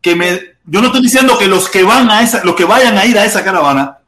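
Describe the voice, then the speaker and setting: Male host, high voice, echoey room with crowd noise; emphatic and wry. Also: expressive, fast. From Cuba. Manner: emphatic, repeats phrases, jokes wryly. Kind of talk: live talk show.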